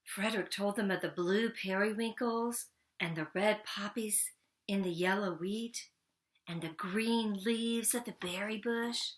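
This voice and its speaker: A woman narrating a children's storybook, with deliberate, expressive phrasing and pauses between phrases. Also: mid-range voice.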